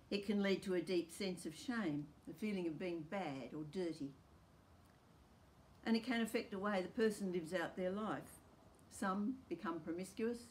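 Older Australian woman, lowish voice, earnest home church teacher, faint outdoor air behind. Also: a little hiss or hum. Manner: calm, earnest teaching delivery. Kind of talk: recorded home church talk. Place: outdoors in a rural backyard garden.

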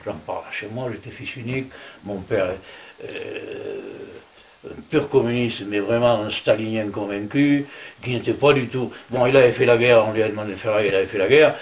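A man's voice: lowish voice, lively intonation, moderate pace. An older man telling a family story and acting out his parents' words. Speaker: veteran interviewee.

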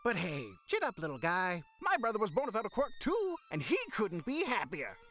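Man as a high-voiced, tactless quack doctor. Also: wildly swooping pitch, moderate pace, a bit bright, soft background music, exaggerated voice. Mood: chipper, tactless. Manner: folksy, smug, oblivious. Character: quack older male doctor, breezy and tactless. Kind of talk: anime parody dub acting.

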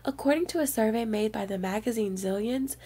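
A woman with a fairly high, very soft-edged voice reads a picture book to young children. She is expressive, as a storyteller speaking straight to her little listeners. Her tone is mellow and distinctly breathy. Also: brisk.

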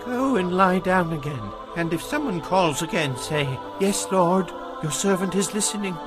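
A man's voice: high-pitched, animated, moderate pace, over music. An actor playing a very old, nearly blind priest and father, admonishing in a dramatized audio Bible. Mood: gentle instruction.

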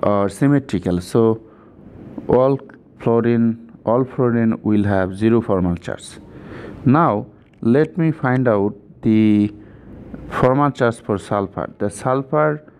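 A man's voice: mid-range voice, slow pace, a little mellow, slight background noise. A man giving an informal step-by-step chemistry tutorial, repeating himself and often checking in with "right?".

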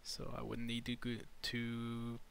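A man narrating an improvised step-by-step screen tutorial in halting, short instructional phrases. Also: mid-range voice, completely flat delivery, gravelly, a bit bright, a little hiss or hum. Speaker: tutorial presenter.

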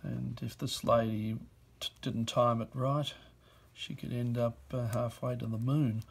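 Slow, gravelly New man. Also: mid-range voice, level tone. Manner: unhurried, reads captions aloud in short bursts. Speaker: auction presenter. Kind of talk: reading out postcards while browsing. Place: indoor office desk.

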